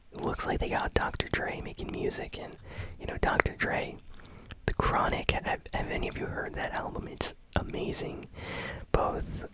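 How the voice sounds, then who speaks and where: A gaming news host whispering a casual ASMR news roundup, chatty and unhurried with frequent fillers. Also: low-quality recording.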